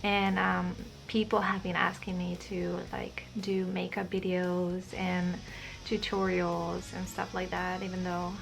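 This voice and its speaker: A woman's voice: on the low side, monotone, a little slow, gravelly, soft background music. A young woman from Spain with a Spanish accent, chatting shyly and hesitantly to camera, with many fillers. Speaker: vlogger. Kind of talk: casual chat to camera.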